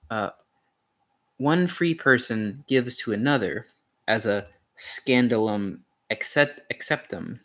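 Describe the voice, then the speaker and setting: A man's voice: medium pitch, fairly bright tone. A man reading an essay aloud as an amateur, stumbling now and then and correcting himself, with a casual touch. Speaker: amateur reader of a philosophy essay.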